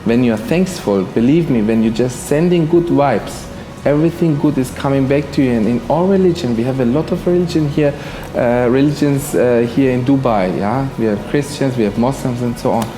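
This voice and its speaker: A man's voice: medium pitch, fairly quick, over music. A man from Germany giving earnest, motivational personal talk as an interview guest, often repeating his phrases. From Germany, of Iranian background. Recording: roomy echo with faint noise.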